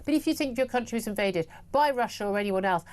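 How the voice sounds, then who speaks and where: A woman's voice: fairly high pitch, lively intonation, fast, darkish tone. A woman presenting a heated TV panel debate, combative and exasperated, often cutting in.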